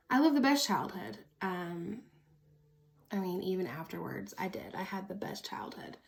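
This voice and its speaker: A woman in her early thirties from Oklahoma with an American accent, telling a personal story in a casual, candid, chatty way as a vlogger. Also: lowish voice. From Oklahoma, United States. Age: early 30s.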